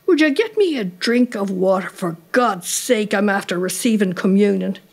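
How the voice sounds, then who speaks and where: A woman's voice: mid-range voice, animated, moderate pace. Woman playing a cantankerous old Irish woman, scolding. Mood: indignant. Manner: sharp, scolding, indignant.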